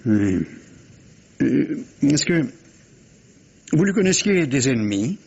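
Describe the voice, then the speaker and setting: Un acteur qui joue, à la manière d'une dramatique policière radiophonique, un inspecteur de police poli, hésitant mais tenace, à la voix voilée. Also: male voice, mid-range voice, very animated, slow, vocal fry, darkish tone, husky, heavily acted. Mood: probing, measured. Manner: courteous, apologetic, hesitant with repeated words. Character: polite, persistent, hesitant male police inspector. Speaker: actor in a radio mystery play. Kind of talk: French radio mystery drama acting, 1950s manner. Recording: dull vintage radio recording.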